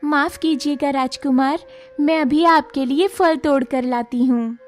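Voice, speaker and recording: A woman voicing a gentle, apologetic young village girl in an animated moral story, speaking politely and earnestly. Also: sits high, measured pace, faint music behind.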